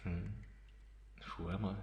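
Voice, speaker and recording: A man playing a calm, teasing half-vampire in an ASMR roleplay, pausing often and sounding softly amused and restrained. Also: low-pitched, vocal fry, heavily acted. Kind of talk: slow ASMR roleplay monologue. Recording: roomy echo, faint background noise.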